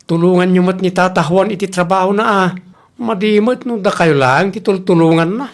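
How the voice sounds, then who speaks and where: A blunt grown child nagging a parent, voiced by an actor in an Ilocano comedy drama. The voice sits at a medium pitch and has an Ilocano accent. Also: moderate pace, close to an everyday voice. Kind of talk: Ilocano comedy radio-style drama acting.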